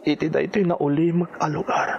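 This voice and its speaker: An actor with a Filipino accent playing a grieving husband in an emotional, pleading radio drama performance. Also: male voice, on the high side, fairly even intonation, brisk, gravelly, lo-fi sound, slight background noise. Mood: wistful. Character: anguished, grieving husband of a missing wife. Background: music.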